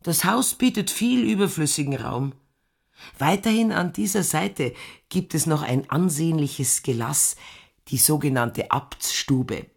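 An actress playing a stately, hospitable farm landlady in a radio drama, husky-voiced, explaining things courteously and matter-of-factly. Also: low-pitched, lively intonation, a little slow, heavily acted.

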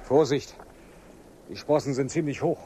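An actor playing a practical, impatient pilot in a German radio drama, speaking in clipped, technical phrases. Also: male voice, medium pitch, steady tone, noisy background, natural voice. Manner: clipped, brisk, technical reports. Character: practical, impatient male pilot of an expedition glider.

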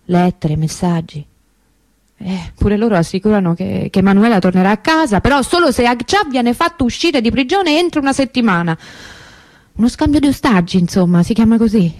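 Soft-toned, anguished young woman. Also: mid-range voice, lively intonation, no room sound. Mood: sad, bewildered. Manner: confiding, hesitant, conversational with pauses and repetitions. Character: anguished young woman recalling her missing teenage sister. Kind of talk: intimate radio drama monologue.

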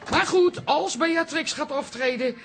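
An actress playing a bossy, gossipy older toilet attendant in a radio comedy, sharp-tongued and self-important. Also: female voice, sits high, measured pace, faint music behind. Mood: scornful. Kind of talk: radio comedy drama acting.

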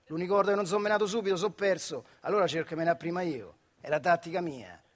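A man's voice: high-pitched, fast. A man playing a brash, cocky young factory-worker boxer with a central Italian accent in a stage monologue, speaking bluntly and colloquially. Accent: central Italian (Marche). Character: brash young working-class boxer with Olympic dreams.

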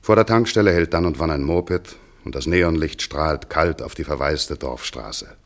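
An actor playing a middle-aged village vet and father in a German radio play, measured and matter-of-fact, patient with children. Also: rumbling low. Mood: reflective, wry.

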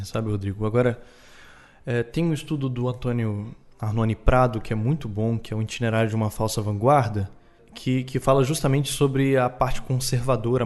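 Brazilian male guest academic, medium pitch, conversational explainer who repeats and restarts phrases emphatically. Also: a little mellow. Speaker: guest historian.

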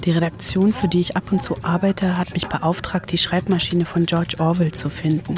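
A woman's voice: fairly low pitch, level tone, moderate pace. A woman in her forties delivering first-person narration for a radio documentary, as its author. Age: 40s. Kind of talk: first-person scripted narration with phone calls.

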